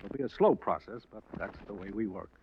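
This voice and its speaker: An American actor playing a weary, practical police lieutenant in a 1950s radio drama, deliberate and matter-of-fact. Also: male voice, fairly low pitch, natural voice. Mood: practical, brisk. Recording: muffled, faintly noisy old radio recording.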